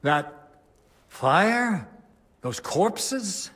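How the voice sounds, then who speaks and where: Devout medieval abbot, video game drama. Grave, formal, troubled. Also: male voice, sits high, very animated, extremely slow, a bit bright, heavily acted. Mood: shocked. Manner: solemn, pious, formal.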